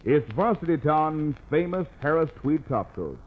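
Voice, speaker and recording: A man's voice: fairly high pitch, sing-song, measured pace. 1950s American radio adman, thin phone-like sound. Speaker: radio advertisement announcer. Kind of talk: 1950s scripted radio commercial.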